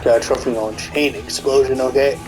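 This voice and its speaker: A man's voice: mid-range voice, steady tone, fairly quick, over music, rough recording. A man in a casual, rambling commentary rant, full of fillers and restarts, swearing often, with a stuffy nose. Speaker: commentary YouTuber.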